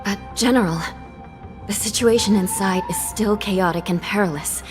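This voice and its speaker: A woman's voice: medium pitch, normal speed, over music, lightly acted. An actress playing a formal, earnest female judge in a game cutscene.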